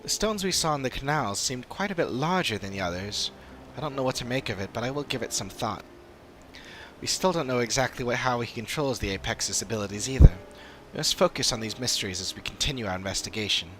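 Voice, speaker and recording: A man voicing an earnest, formal fantasy adventurer in video game dialogue, with measured and serious delivery. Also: medium pitch, lively intonation, fairly bright tone, slight background noise, close to an everyday voice. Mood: serious, thoughtful.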